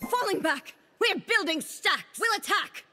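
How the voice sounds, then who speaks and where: A woman's voice: very high voice, lively intonation, a little slow, sharp tone, slight background noise, full character voice. A woman voicing an imperious celestial maiden in a video game, speaking formally and with haughty command. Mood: urgent, commanding. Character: imperious cosmic maiden, celestial keeper of the stars. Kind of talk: video game character voice lines. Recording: long reverb on game voice.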